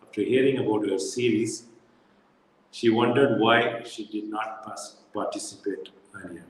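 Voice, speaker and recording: A lower-voiced man with reads out a guest's introduction in a measured, formal way. His voice rings in a very echoey space with a long reverb tail and a faint hiss behind it. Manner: measured, reads a list of titles and dates. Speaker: host introducing a guest speaker. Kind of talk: formal reading of a speaker introduction. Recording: roomy echo with long reverb, faint noise.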